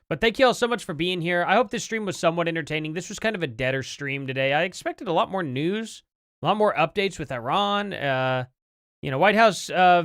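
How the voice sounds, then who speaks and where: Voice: medium pitch, fairly bright tone, dry recording, clean studio sound. An American livestream host chatting casually and informally to viewers, rambling along and reacting to things. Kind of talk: casual livestream chat, reacting to clips and news.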